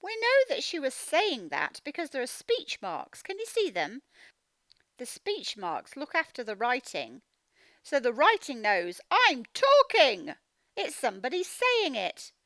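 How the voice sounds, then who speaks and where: A woman teaching a children's reading lesson, expressively reading aloud and sounding out words slowly. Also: high-pitched, huge pitch swings, a bit bright, dry recording. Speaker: phonics teacher.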